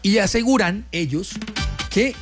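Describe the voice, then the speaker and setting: A man's voice: high voice, lively intonation, unhurried, over music. A Colombian man hosting a morning radio show, chatting in an exclamatory, folksy way and addressing listeners directly. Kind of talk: lively radio show chat and presenting. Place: radio studio.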